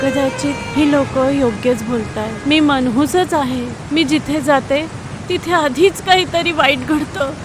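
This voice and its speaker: A woman's voice: sits high, unhurried, over music, rough recording. A woman voicing a distressed young female goat in an animal story, pleading in a plaintive, emotional way. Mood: despairing.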